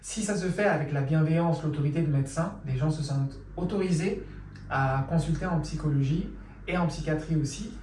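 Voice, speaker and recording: A middle-aged man from Mali, a psychologist answering interview questions in calm, measured explanations. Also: a little high, a little hiss or hum. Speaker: psychologist guest. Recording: echoey room, from far off.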